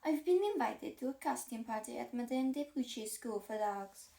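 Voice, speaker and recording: Haughty pampered male storybook dog, played by a woman: imperious, fussy, higher-pitched, recorded in a roomy echo. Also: lively intonation, normal speed, vocal fry, mellow. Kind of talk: read-aloud children's storybook.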